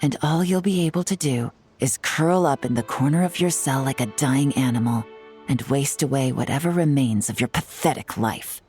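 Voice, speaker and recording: An actress playing a cool, sardonic young female detective in a video game, composed, measured and wry. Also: rumbling low, darkish tone, faint music behind. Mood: cold contempt. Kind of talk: dramatic game voice acting.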